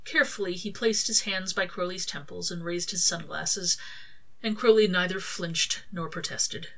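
Female author-narrator for fiction: lower-pitched, clear-cut bright tone, scripted storytelling. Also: moderate pace. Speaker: author reading own fan fiction.

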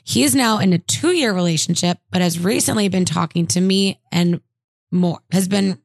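A woman's voice: lowish voice, moderate pace, vocal fry, no room sound. A woman co-hosting a casual podcast, reading listener letters aloud with jokey asides. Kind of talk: casual chat.

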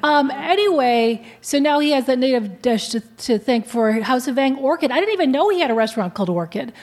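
High-voiced chatty woman co-host. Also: expressive, a little fast, a little mellow. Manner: chatty, skeptical, reads aloud. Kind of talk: casual chat.

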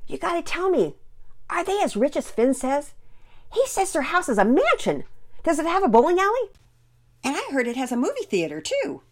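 Woman acting as eager little boy, raised pitch, animated, casual, upbeat, audiobook character. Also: normal speed. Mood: excited. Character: eager, curious young boy, upbeat brother.

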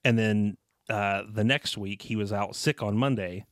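A man's voice: on the low side, measured pace, dry recording, studio quality. A man in his thirties with a Southern American accent, chatting casually as a podcast co-host and rambling with fillers. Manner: relaxed, rambling with fillers and laughs. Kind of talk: casual chat.

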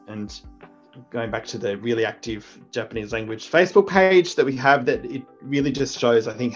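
A middle-aged man, a teacher and deputy principal, giving earnest, reflective interview answers with frequent restarts. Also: on the low side. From Australia. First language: English. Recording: muffled lo-fi audio with faint music.

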